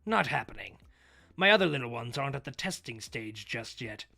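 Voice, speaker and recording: Guy reading a scheming mad doctor from a manga, calm n theatrical, dropped pitch. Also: a little fast, gravelly, full character voice. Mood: measured regret. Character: calm, scheming villain doctor who makes monsters. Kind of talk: manga read-aloud.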